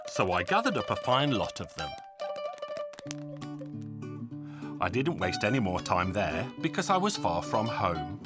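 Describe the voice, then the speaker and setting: Swooping, theatrical tall-tale storyteller over music. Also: male voice, fairly low pitch, sing-song, moderate pace. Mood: lively, boastful. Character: boastful folk hero telling absurd tall tales. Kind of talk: animated folk-tale storytelling.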